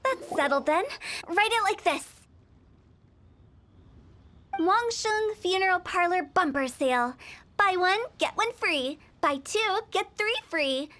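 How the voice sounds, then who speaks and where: A woman voicing a young female funeral parlor director, mischievous and playful, pitching deals in a cheeky, breezy way. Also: expressive, unhurried, pushed and bright, full character voice. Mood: gleeful. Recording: faint game music behind.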